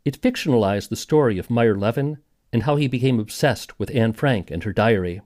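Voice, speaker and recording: A man's voice: medium pitch, expressive, brisk, darkish tone. A man hosting a podcast, reading scripted documentary narration. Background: music.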